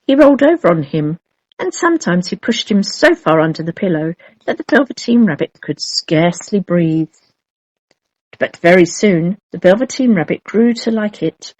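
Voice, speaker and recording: A woman narrating a children's storybook in an expressive, measured storytelling manner. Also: on the low side, no room sound, rough recording. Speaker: storybook reader.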